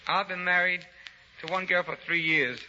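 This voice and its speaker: A man, an amateur contestant on a 1930s radio show, talking in a halting, boastful way. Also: high voice, steady tone. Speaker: amateur novelty act contestant. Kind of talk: 1930s live radio interview. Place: stage of a live radio show. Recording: muffled, noisy 1930s radio broadcast.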